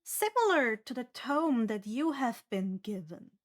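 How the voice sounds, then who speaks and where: A woman's voice: on the high side, very animated, measured pace. A woman who is not a native English speaker, narrating a tabletop fantasy game as game master.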